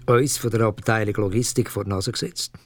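Actor as a low-voiced Swiss office clerk. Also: male voice, fairly even intonation, brisk, lightly acted. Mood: bitter. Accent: Swiss German. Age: middle-aged. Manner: hesitant, colloquial, wry. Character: disgruntled, loyal middle-aged male office clerk. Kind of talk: conversational radio drama monologue. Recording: guitar music behind. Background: plucked guitar music.

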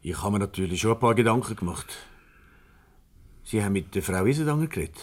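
Husky, anxious Swiss German husband. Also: male voice, lowish voice, lively intonation, fast talker. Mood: uneasy. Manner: hesitant, agitated, polite. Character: uneasy, deferential husband, anxious about his missing wife. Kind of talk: 1970s comedy radio play acting.

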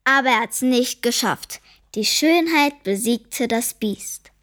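A woman's voice: a little high, lively intonation, slow pace, fairly bright tone. A woman playing a clever, defiant ten-year-old girl in a children's radio play, dry and wordy as she tells the story. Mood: wry, dry. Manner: dry, wry, precociously wordy. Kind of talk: German children's radio play narration and dialogue.

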